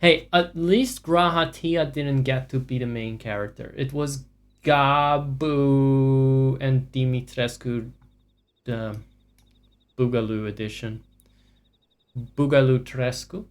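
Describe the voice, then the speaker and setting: A man's voice: mid-range voice, fairly even intonation, slow pace. A man livestreaming a video game, giving casual commentary in a profane, sarcastic, ranting way. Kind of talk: casual live gameplay commentary.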